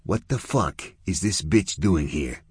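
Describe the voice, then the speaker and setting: A man's voice: deep voice, animated, takes it slowly, breathy edge, dry recording, studio quality, heavily acted. A man voicing a tense, angry man under pressure. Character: tense, cornered American man.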